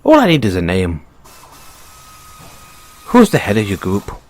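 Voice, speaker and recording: A man with an Irish accent voicing a sly, playfully menacing bunny mechanic who is a mafia boss, in an intimate, teasing, unhurried audio roleplay style. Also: on the low side, wildly swooping pitch, darkish tone. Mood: probing, menacing. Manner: teasing, unhurried, coaxing with tag questions.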